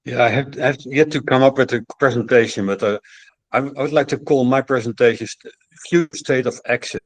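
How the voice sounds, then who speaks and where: Mid-pitched man, earnest panel explainer, repeats words while thinking, dry sound. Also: brisk, no room sound, low-quality recording. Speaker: conference speaker.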